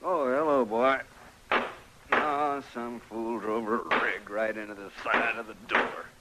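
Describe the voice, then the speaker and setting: An actor playing a folksy, plain-spoken frontier stable keeper in an old radio western, drawling in a rustic American accent. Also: male voice, mid-range voice, steady tone, takes it slowly, lightly acted. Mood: folksy, teasing. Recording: noisy old radio recording.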